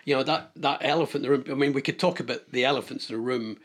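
An older man, co-hosting a podcast in a halting, repetitive way. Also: mid-range voice. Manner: halting, repeats words. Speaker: podcast co-host. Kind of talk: casual chat.

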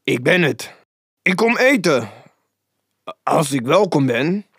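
A man playing an exclamatory, cheerful old man, lively pitch. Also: takes it slowly, slightly rough, dry recording, studio quality, exaggerated voice. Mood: cheerful, polite. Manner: exclamatory, hearty. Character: old man Scrooge, giddily cheerful and generous, mock-gruff.

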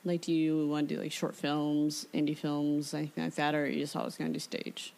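A woman's voice: deep voice, little pitch movement, brisk, vocal fry, a little mellow. An American woman hosting a casual interview, informal and joking in how she talks. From United States, Illinois. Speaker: podcast host.

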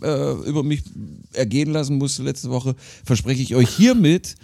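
Joking German man with a dark voice. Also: medium pitch, normal speed, vocal fry. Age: 30s. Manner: animated storyteller, jokey. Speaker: podcast co-host. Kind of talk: casual banter about music.

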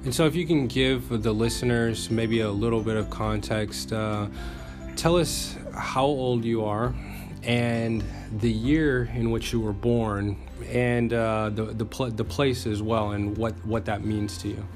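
Hesitant male podcast host, lowish voice. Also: steady tone, a little slow, music in the background. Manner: hesitant, restarts questions with uh. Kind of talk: podcast interview.

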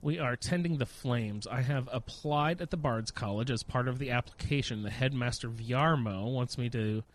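A man's voice: mid-range voice, moderate pace, few pauses. A man giving casual, rambling gameplay commentary, self-deprecating and jokey, sniffling a little with a slight cold. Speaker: gamer narrating own playthrough.

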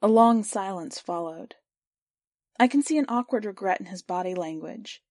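A woman reading a first-person literary short story aloud for a podcast, in a measured, clear storytelling voice. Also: mid-range voice, expressive, a little mellow, no room sound, studio quality. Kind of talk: scripted narration of literary fiction.

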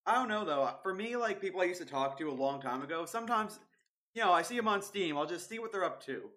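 A man's voice: high-pitched, animated, fast talker, bright, forceful tone. A young man co-hosting a casual podcast, reminiscing in an easygoing way. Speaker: podcast co-host. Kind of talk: casual chat.

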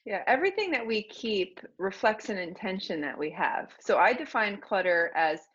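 A woman guest, an organizer, speaking in a measured, reflective way. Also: mid-range voice, big pitch swings, a bit bright, husky. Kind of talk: conversational explanation. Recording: dull lo-fi audio.

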